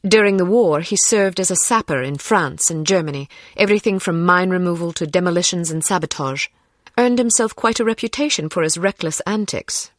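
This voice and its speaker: An actress playing a self-possessed Scottish secret agent in a video game, cool, articulate and wry, with a Scottish accent. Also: female voice, lowish voice, fairly quick, no room sound, clean studio sound, natural voice. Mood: matter-of-fact.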